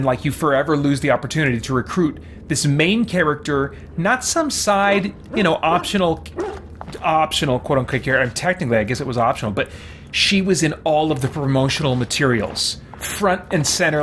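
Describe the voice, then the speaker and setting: A man's voice: fairly high pitch, moderate pace, a bit bright, slight background noise. A man livestreaming a video game, chatting casually and thinking aloud in a rambling way. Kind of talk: casual chat while playing a video game.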